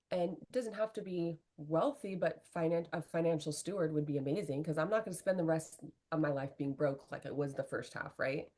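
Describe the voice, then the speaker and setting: Middle-aged woman, low-pitched, relationship coach; earnest, candid interview talk. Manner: earnest, animated, fillers like "right?".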